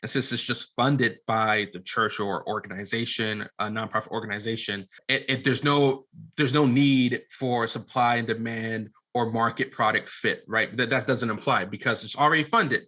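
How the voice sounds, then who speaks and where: A man's voice: medium pitch, steady tone, moderate pace, sharp tone. A man talking casually as an interview guest, often restarting his phrases as he explains his work. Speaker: podcast guest, entrepreneur and audio drama producer.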